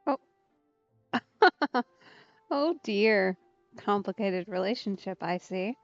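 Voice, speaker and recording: A woman's voice: medium pitch, slow, dry recording, dull audio. A woman live-streaming a game, giving casual, understated, giggly commentary. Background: faint game music.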